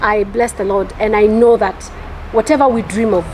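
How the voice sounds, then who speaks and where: A woman's voice: mid-range voice, steady tone, moderate pace, darkish tone, a little hiss or hum, rough recording. A woman from Kenya, an entrepreneur, answering interview questions in an earnest, reflective way with repeated phrases. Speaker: entrepreneur, property developer.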